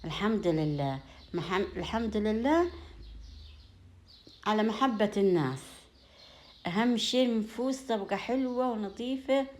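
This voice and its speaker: A woman's voice: mid-range voice, animated, normal speed, long pauses, darkish tone. A woman with an Upper Egyptian Sa'idi accent chatting casually to her viewers in a home vlog, in a folksy way full of blessings and endearments. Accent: Upper Egyptian (Sa'idi) Arabic. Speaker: home vlogger.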